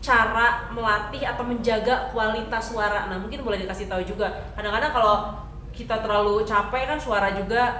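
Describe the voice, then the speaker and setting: A woman hosting a casual talk show interview, chatty and quick to chime in with affirmations. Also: on the high side, bright, forceful tone. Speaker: radio talk show host. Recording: echoey room with faint background noise.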